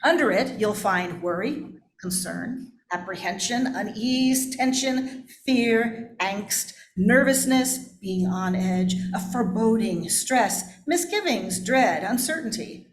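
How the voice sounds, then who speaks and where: A woman's voice: medium pitch, animated, slow, a little hiss or hum. A woman pastor giving a personal, confessional sermon in a candid, self-deprecating way. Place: church, indoors. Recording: echoey room.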